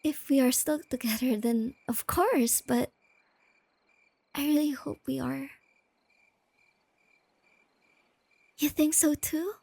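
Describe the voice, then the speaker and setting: A woman playing a shy, nerdy young girlfriend in a soft-spoken ASMR roleplay, sweet and earnest, explaining things gently and without hurry. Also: high voice, unhurried, vocal fry, no room sound. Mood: tender, hopeful.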